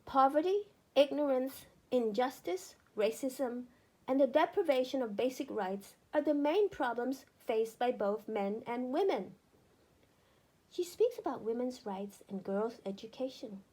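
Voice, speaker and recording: A woman narrating a children's book aloud in an expressive, deliberate storytelling manner with emphatic phrasing. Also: high voice, rough recording.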